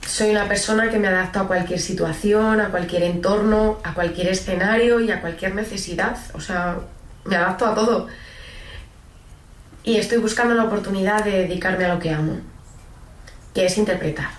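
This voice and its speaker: A woman's voice: medium pitch, normal speed. A woman, an actress earnestly presenting herself in an audition clip. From Madrid, Spain. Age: late 20s. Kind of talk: earnest self-presentation to camera. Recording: roomy, distant, noisy self-recorded clip.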